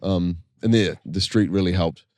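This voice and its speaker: A young man, chatting casually as a guest musician on a podcast, laid-back and quick to laugh. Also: low-pitched, darkish tone, dry recording, studio quality. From New Zealand.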